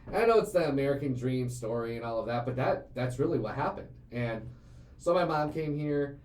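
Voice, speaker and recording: A man's voice: medium pitch, animated, fairly quick, darkish tone. A man in his late twenties, hosting a casual business podcast, talking earnestly in long rambling runs with many fillers and repeated words. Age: 20s. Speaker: CEO and show host. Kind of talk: casual conversational podcast chat. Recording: roomy echo, faint ambient noise.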